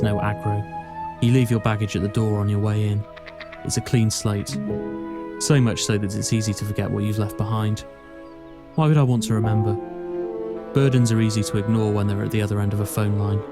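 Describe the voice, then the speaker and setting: A young man playing a wry, melancholy student in a reflective film voice-over, deadpan and introspective, with music underneath. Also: on the low side, a little fast, natural voice. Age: young adult. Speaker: actor narrating as the lead character.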